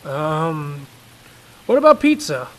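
A man's voice: on the high side, big pitch swings, slow pace, fairly bright tone, pitched above the performer's own voice, exaggerated voice, low-quality recording. A man playing a harried single father in a horror story reading, worn down, exasperated and emotional. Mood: weary.

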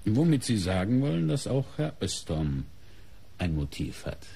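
An actor playing a coolly suspicious police inspector in a German radio crime play, questioning in a measured, deliberate way with pointed pauses. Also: male voice, fairly low pitch, big pitch swings, creaky, mellow, breathy edge, full character voice. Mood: coolly probing.

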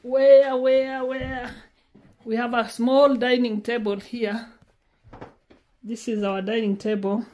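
A woman's voice: on the high side, little pitch movement, slow, pauses often, lo-fi sound. Kenyan-born woman vlogging from home. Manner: warm, pleading, repeats words often. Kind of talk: casual vlog chat to camera. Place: small home, indoors. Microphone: phone camera held at arm's length.

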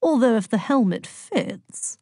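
Male winged horse, voiced by a woman, snooty, disdainful, deliberate, higher pitch, storybook creature. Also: animated, mellow, no room sound, clean studio sound.

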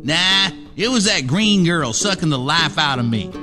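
A man's voice: a little high, animated, moderate pace, bright, forceful tone. An actor playing a folksy, wry young male survivor with a drawl in a radio play. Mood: weary, insistent. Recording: music under radio play dialogue.

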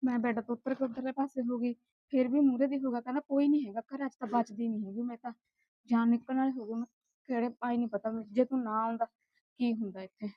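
A woman's voice: a little high, fairly even intonation, quick pace, dull audio, natural voice. A woman playing a distressed lady of the house in a short film, complaining and pleading with a Punjabi accent. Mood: upset, shaken. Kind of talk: naturalistic short film dialogue.

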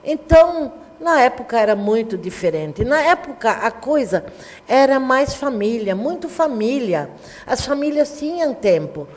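An older woman with a fairly high voice, reminiscing in a TV studio and talking with her hands. Her words ring with a long studio reverb. She tells her memories at an easy storyteller's pace. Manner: warm storytelling, animated hand gestures. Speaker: teacher and historian, interview guest. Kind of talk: reminiscing interview talk. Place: small TV studio.

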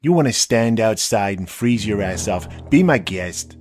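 A man's voice: fairly low pitch, animated, a little slow, a little mellow, faint music behind. A man playing a blunt, streetwise gangster henchman, griping in a comic crime drama. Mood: grumbling. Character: blunt, grumbling male mob henchman.

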